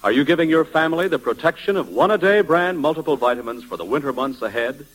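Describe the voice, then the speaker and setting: Male American announcer, 1940s radio ad read, animated intonation, slightly rough husky tone, vintage broadcast sound. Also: a little high, fast, poor audio.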